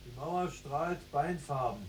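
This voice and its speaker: An actor playing a terse younger prisoner in a poetic radio drama, with measured, deliberate diction. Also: male voice, fairly high pitch, slight background noise, rough recording. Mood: measured, observant. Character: younger male prisoner, terse, pressing cellmate.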